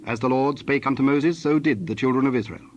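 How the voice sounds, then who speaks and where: A man narrating scripture from a script in a formal, measured manner. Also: mid-range voice, a little mellow. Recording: dull band-limited sound, faint background noise.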